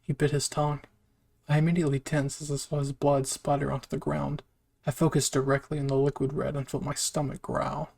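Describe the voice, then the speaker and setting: Male story narrator, slightly high voice, reading scripted fan fiction. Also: level tone, fairly quick, vocal fry, darkish tone.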